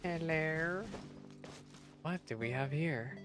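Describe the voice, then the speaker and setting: A woman's voice: low voice, slow. A woman streaming a game with casual, exclamatory, chatty reaction commentary. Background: game music.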